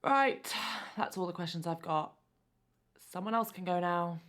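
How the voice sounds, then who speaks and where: Vain, gushing teen quizmaster. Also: female voice, lowish voice, a little slow, fairly bright tone. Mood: self-satisfied. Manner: gushing, self-satisfied, peppered with "like". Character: vain, self-adoring nineteen-year-old female quizmaster. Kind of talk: interactive film acting.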